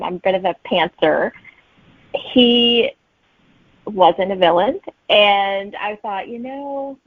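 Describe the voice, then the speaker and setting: A woman's voice: medium pitch, dry recording, narrow, tinny audio. A woman chatting casually as an author being interviewed, heard over a thin remote connection.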